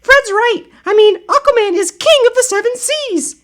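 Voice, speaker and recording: A man voicing an eager teenage girl sleuth in a high, bright put-on voice. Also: lively intonation, moderate pace.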